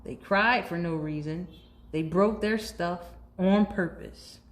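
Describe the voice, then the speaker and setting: A woman's voice: on the low side, takes it slowly. A woman voicing a helpful, dutiful, overstressed good egg in a children's storybook, telling it earnestly and emphatically. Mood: weary, exasperated. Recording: roomy echo, faint background noise.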